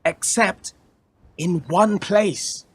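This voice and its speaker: An actor playing a dutiful, wary young son of a lord in a radio play, with formal archaic speech. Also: male voice, high-pitched, extremely slow, breathy edge, no echo, heavily acted.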